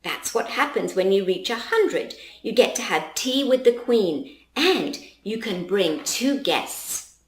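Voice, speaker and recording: A woman voicing an excitable, anxious young boy mouse in a storybook reading. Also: mid-range voice, slow, roomy sound. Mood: eager explaining. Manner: exclaiming, eager, squealing when scared.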